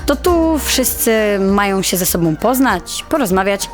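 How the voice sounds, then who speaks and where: A shy, insecure high-school girl narrating an audio drama. She speaks in a confiding, introspective way at a medium pitch. Music plays clearly under her voice. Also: sing-song, unhurried. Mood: reflective. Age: teenager.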